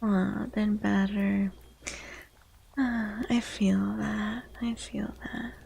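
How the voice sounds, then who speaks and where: A woman livestream host speaking softly and breathily, often half-whispering, in a gentle ASMR chat with viewers, repeating short phrases. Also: mid-range voice, little pitch movement, extremely slow, gravelly, husky.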